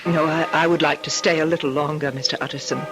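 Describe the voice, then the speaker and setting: A woman's voice: low voice, fairly even intonation, quick pace, close to an everyday voice. Female actor as earnest young Victorian daughter, genteel, anxious, hesitant, vintage radio melodrama over light music. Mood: subdued, evasive. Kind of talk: old-time radio drama acting.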